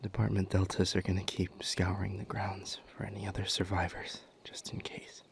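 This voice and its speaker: A man playing a protective, urgent demon lover in an intimate audio roleplay, speaking in an earnest, hushed way. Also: deep voice, completely flat delivery, normal speed, vocal fry, whispered. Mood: urgent, grave.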